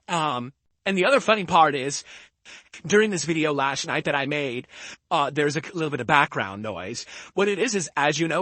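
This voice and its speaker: A middle-aged man talks into a desk microphone at home, ranting and swearing freely. His voice is bright and somewhat husky, pitched a little high, and it sounds dry and close. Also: dry recording. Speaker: streamer. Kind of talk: casual stream talk and rant. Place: home room with window and fans. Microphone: desk microphone at normal distance.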